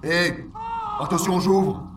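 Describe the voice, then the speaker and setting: A man's voice: high voice, slow pace, breathy edge, exaggerated voice. An actor playing a jumpy male guard at a door in a French audio drama. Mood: alert, flustered.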